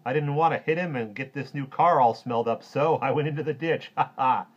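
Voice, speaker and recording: Man as a polite storybook superhero, modest, raised pitch, slightly muffled recording. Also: fairly quick, close to an everyday voice. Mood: amused. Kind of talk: children's storybook character voice.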